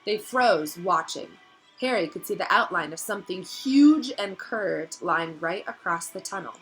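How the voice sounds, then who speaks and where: A woman narrating a novel aloud in an expressive, dramatic storytelling style that builds suspense. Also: fairly low pitch, unhurried, faint music behind, poor audio.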